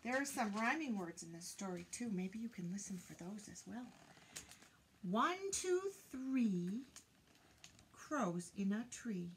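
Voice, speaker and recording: An older woman reads a picture book aloud to young children, counting in a deliberate singsong and prompting them with questions. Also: mid-range voice, animated, a little hiss or hum, poor audio. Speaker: children's teacher reading aloud. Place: indoors, small room lined with bookshelves.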